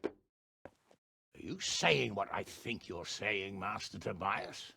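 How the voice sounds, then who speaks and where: An actor playing a blustering, hot-tempered older lord in a video game cutscene, gruff and quick to swear. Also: male voice, on the low side, very animated, background noise, full character voice. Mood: furious. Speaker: nobleman lord.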